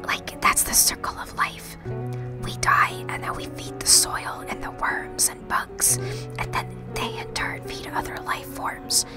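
A woman streaming a game in a breathy whisper, chatting informally and reading the dialogue aloud. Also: a little slow. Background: music.

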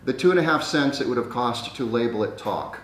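An older man with a mid-pitched voice makes dry, sarcastic grumbles while chatting through a gadget test. The sound is roomy and distant, with faint noise behind him. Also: animated, fairly quick, fairly bright tone. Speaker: vlog co-host. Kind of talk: casual chat while testing a gadget. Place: dining room at home. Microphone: camera microphone across the room. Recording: echoey room, distant mic, faint noise.

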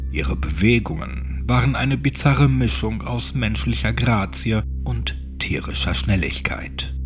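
A man reads a horror tale in a low voice and at a very unhurried pace. His phrasing is solemn and dramatic, often dropping toward a hush as a phrase closes. Music plays faintly behind him. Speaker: horror audiobook narrator. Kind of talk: scripted narration of a creepypasta horror story.

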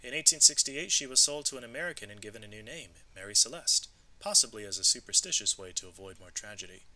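A man narrating an educational storybook read-aloud, with expressive, clearly emphasised delivery. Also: on the low side, fast, rough recording.

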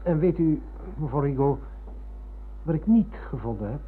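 Nostalgic middle-aged man, polite, earnest, slightly high husky voice. Radio drama, phone-like band. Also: lively intonation, moderate pace. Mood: wistful, insistent. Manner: earnest, polite, dwelling on words. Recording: narrow phone-like old radio recording.

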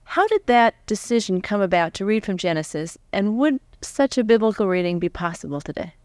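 An American woman in her forties hosts an interview with a crisp, resonant voice at a medium pitch. She is chatty and animated in how she talks. The recording is close and very dry. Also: brisk, no echo. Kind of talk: conversational live interviewing. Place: on stage before a live audience. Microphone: large microphone on a stand, close.

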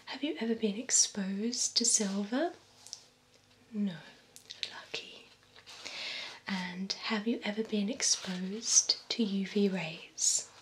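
Young woman as courteous female vampire beautician, ASMR role-play, whispered, very slow, soothing, close binaural mic, background ambience. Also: medium pitch, a bit bright. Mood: attentive, gently teasing. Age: young adult. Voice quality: breathy whisper. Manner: slow, soothing, formal client care. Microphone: close binaural microphone. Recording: close whisper over clear ambient noise.

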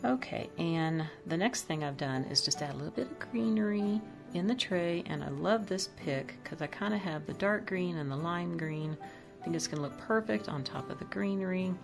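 A woman's voice: fairly low pitch, lively intonation, normal speed, creaky, music in the background. A woman chatting casually as she decorates her home kitchen, thinking aloud about each piece. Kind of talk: casual voice-over demo.

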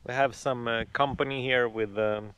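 A man in his thirties vlogging outdoors by a forest lake, talking into a camera held at arm's length, relaxed and hesitant with many fillers. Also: mid-range voice, moderate pace, smooth, no room sound, muffled recording. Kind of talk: casual outdoor vlog.

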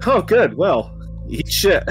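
A man's voice: high voice, very animated, slow, low-quality recording. A man chatting casually as a player in a tabletop game, joking and swearing. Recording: background music under the voice.

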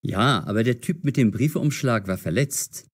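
An actor playing a cautious, curious young man in an audio drama for language learners, measured and clearly enunciated. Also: mid-range voice, lively intonation. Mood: flustered.

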